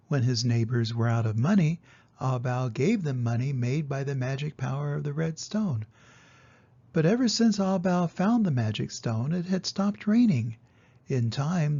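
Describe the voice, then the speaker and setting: An older man narrates a children's tale in a soft-edged, mellow voice. It is husky and gravelly, and the recording is a little muffled. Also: on the high side. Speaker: storyteller reading to children. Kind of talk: scripted children's storybook narration.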